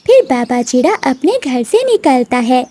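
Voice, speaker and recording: A woman's voice: high-pitched, big pitch swings, unhurried, dark tone. A woman narrating a scripted children's cartoon story.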